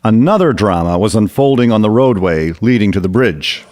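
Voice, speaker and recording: A man's voice: lowish voice, expressive, normal speed, darkish tone. A man narrating a scripted radio drama as storyteller.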